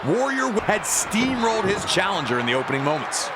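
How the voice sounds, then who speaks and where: A man's voice: high-pitched, sing-song, a little fast, over a noisy crowd. A man giving emphatic, dramatic retrospective commentary on wrestling matches. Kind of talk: dramatic retrospective sports narration. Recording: loud noise behind the voice.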